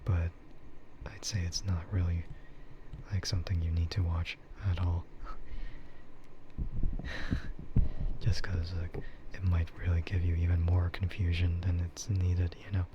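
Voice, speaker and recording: Male ASMR streamer, soft whispery delivery, unhurried and rambling, muffled recording with clear background noise. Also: sits low, completely flat delivery. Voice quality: breathy, soft, mostly whispered. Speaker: streamer, VTuber. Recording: dull, muffled audio with loud ambient noise.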